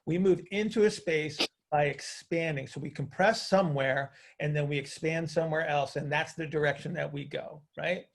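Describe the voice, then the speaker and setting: A man's voice: on the high side, steady tone, moderate pace, gravelly, few pauses. A man coaching on a group call in a conversational, teacherly way, often checking understanding with questions and repeating phrases for emphasis.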